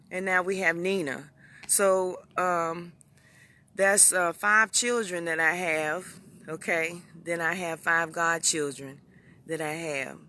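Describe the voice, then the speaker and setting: A middle-aged woman giving an informal inspirational live talk, speaking personally and reflectively to her viewers with frequent pauses. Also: fairly low pitch, sharp tone. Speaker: motivational speaker and host of a live stream.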